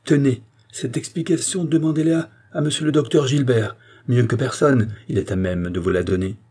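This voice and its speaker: A man's voice: mid-range voice, normal speed, darkish tone, slightly rough, pitched above the performer's own voice, natural voice. A man voicing a proud, jealous queen in a historical novel, speaking with breathless, imperious emphasis. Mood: imperious anger. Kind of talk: dramatic audiobook character acting.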